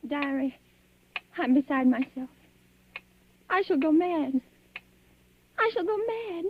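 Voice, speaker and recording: A woman's voice: high voice, lively intonation, slow pace, slight background noise. An actress playing a frightened, trapped woman who stammers with dread, in the style of a 1940s radio suspense drama. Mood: desperate, distraught. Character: frightened, trapped woman confiding in her diary. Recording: muffled old-time radio recording.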